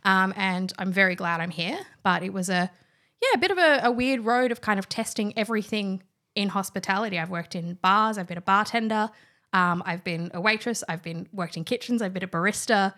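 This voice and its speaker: A woman's voice: medium pitch, brisk, fairly bright tone. A young woman, chatting casually as a guest about her work, with plenty of fillers and restarts. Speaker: guest, wine trainer. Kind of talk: casual chat.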